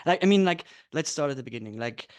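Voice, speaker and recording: A man's voice: mid-range voice, expressive, fast, clear, resonant tone, no echo. A thirty-year-old man from Switzerland hosting a casual, informal podcast interview. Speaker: podcast host.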